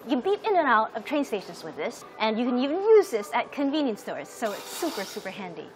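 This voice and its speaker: A woman in her thirties, narrating a travel show as its host in an upbeat, chatty tour-guide manner, with loud music behind her. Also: a little high, very animated, fairly quick, a little hiss or hum. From United States. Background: loud background music.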